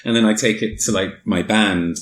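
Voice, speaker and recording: Low-voiced man host in a roomy space. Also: moderate pace. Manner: relaxed, hesitant, many fillers. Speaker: podcast host. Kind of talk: casual interview chat. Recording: roomy echo.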